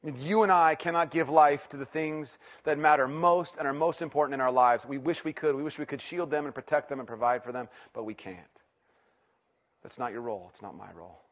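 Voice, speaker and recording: A middle-aged male pastor preaching to his congregation in a slightly high voice. He is casual and self-mocking one moment, then intense and almost hushed the next, building to loud bursts. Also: a little fast. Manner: animated, swings from loud excitement to quiet intensity; self-deprecating, conversational. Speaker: teaching pastor. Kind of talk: sermon. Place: church service before a congregation.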